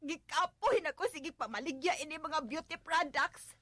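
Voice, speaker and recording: A woman's voice: high-pitched, moderate pace, a bit bright, no room sound. A woman playing a stubborn, carefree wife in a radio drama, whining dramatically with a Visayan Filipino accent. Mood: whiny, dismissive. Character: whiny, stubborn, carefree young wife dodging debts.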